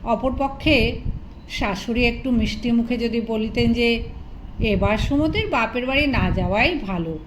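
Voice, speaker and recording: High-pitched woman narrating a story, noisy recording. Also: unhurried. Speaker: audiobook narrator. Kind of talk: scripted dramatic narration of a literary short story.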